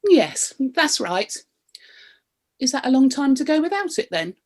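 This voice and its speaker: A woman playing a cheeky, frisky middle-aged British wife in a radio comedy play, expressive and suggestive. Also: high-pitched, measured pace, full character voice. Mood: earnest, naive.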